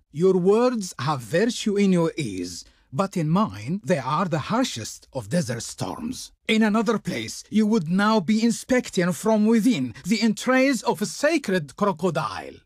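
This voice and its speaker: High-pitched, pompous royal envoy. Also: male voice, very animated, a little slow, hardly any pauses, slightly rough, no echo, clean studio sound, full character voice. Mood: haughty. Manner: pompous, ornate, declamatory. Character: haughty, pompous male envoy of ancient Egypt's Pharaoh. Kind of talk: theatrical video game voice acting.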